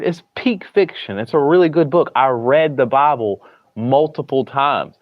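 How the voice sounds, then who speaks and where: A man with a thick Southern US accent rambles through a casual livestream, restarting sentences and trailing off into low mumbles. The sound is dry and a little dull. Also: no echo. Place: hospital room.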